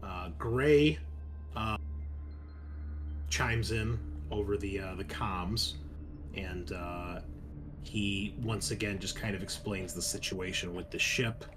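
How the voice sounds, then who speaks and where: A man narrating a tabletop roleplaying game as game master, explaining things in a halting way. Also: low-pitched, music in the background.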